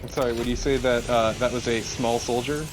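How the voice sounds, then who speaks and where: Male co-commentator, mid-pitched, casual and foul-mouthed gaming banter, noticeably noisy recording. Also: steady tone, a little fast. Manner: casually sweary. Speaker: let's play co-commentator.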